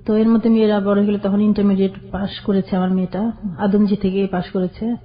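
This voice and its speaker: An older woman, a guest on a radio show, telling her own life story in a plain, halting way. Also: medium pitch, little pitch movement. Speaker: caller guest. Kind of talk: personal storytelling. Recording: dull lo-fi radio sound.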